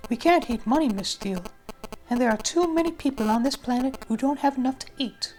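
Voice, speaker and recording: A woman's voice: sits high, lively intonation, fairly quick, darkish tone, rough recording. Woman reading as an arrogant, formal tycoon over music. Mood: self-assured. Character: arrogant, enigmatic wealthy businessman being interviewed.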